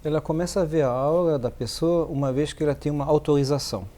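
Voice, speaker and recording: A man from Rio de Janeiro, interpreting carefully in a TV studio, often pausing on fillers. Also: on the high side, steady tone, dark tone. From Rio de Janeiro, Brazil. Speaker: interpreter, guest. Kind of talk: consecutive interpreting.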